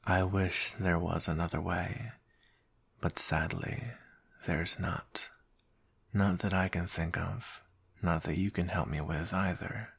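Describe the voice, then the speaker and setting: A man playing a hardened, resigned mercenary with a bitter, gravelly delivery in an ASMR roleplay drama. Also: sits low, fairly even intonation, slight background noise, exaggerated voice. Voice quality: gravelly, husky. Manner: bitter, grim, deliberate. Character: hardened, resigned male mercenary, former comrade.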